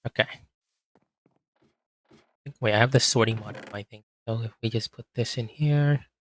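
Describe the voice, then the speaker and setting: A man with a hoarse voice, quietly talking himself through a game as he plays, in a relaxed, slow, muttering way. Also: medium pitch, a little hiss or hum. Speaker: gaming video host. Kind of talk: casual gameplay commentary.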